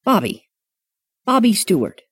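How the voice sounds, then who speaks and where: A woman's voice: medium pitch, big pitch swings, a little slow, husky, no echo, studio quality. A woman voicing a curious, polite boy of almost eleven who sounds eager and a little defensive in an audiobook. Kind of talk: audiobook character voice.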